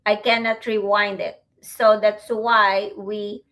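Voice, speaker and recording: A middle-aged woman with a Filipino accent hosting a livestream, talking to viewers in a reflective, halting way. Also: mid-range voice, little pitch movement, fairly bright tone. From Philippines. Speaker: host, online business owner.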